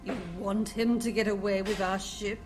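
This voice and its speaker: An actress playing a skeptical, bitter starship captain with a blunt, sardonic manner in an audio comic dub. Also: female voice, mid-range voice, little pitch movement, normal speed, over music, close to an everyday voice.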